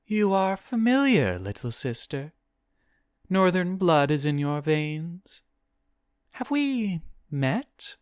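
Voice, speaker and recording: A narrator voicing a huge, ancient female demon who speaks slowly and formally, intimate with kin and contemptuous of mortals. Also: mid-range voice, big pitch swings, clean tone, dry recording, clean studio sound, pitched above the performer's own voice. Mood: curious, caressing. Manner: slow, formal, archaic phrasing.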